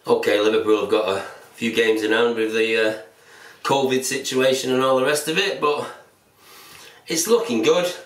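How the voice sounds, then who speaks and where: A man's voice: medium pitch, steady tone, normal speed, recorded from a distance. Bearded man in a roomy room, set back from the mic. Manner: chatty, plain-spoken, self-described pessimist. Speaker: football fan vlogger. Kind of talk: casual solo commentary to camera. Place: small indoor room, seated at a table.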